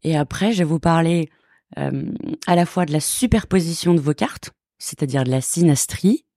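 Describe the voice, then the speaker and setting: A middle-aged woman with a low, warm voice explains things carefully, pausing often on filler sounds. The sound is close and dry. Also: no room sound. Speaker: guest astrologer. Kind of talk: explanatory talk.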